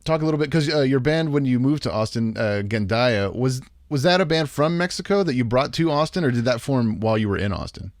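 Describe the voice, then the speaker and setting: A man in his thirties hosting a podcast, chatting informally in a halting, self-deprecating way. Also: medium pitch, animated, no room sound, clean studio sound. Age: 30s. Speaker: podcast host. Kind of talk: casual chat interview.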